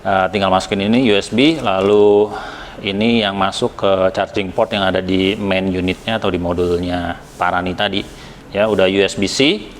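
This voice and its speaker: A man's voice: low voice, steady tone, moderate pace, a little hiss or hum. An Indonesian man giving a casual, conversational product review, hesitating as he goes and mixing in occasional English words. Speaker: product reviewer from a helmet shop.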